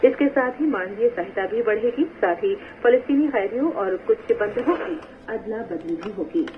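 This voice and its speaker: A woman's voice: medium pitch, animated, moderate pace. A woman with an Indian accent reading formal scripted news, heard through a small radio speaker. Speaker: radio newsreader. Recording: tinny, noisy medium-wave radio reception.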